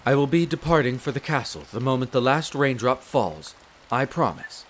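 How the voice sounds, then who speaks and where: A man acts out a devoted wolf butler in a fantasy audio drama. His pitch sits mid-range for a man, and his speech is formal, polite and deferential. Rain patters behind him. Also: normal speed, close to an everyday voice. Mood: reading aloud, earnest. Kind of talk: scripted roleplay audio drama. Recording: rain noise over the voice. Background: steady rain.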